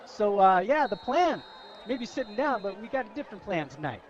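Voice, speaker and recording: A man's voice: high-pitched, sing-song, normal speed, lo-fi sound, some chatter behind. A man giving informal, opinionated football analysis as a color commentator on a radio broadcast. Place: outdoor high school football game.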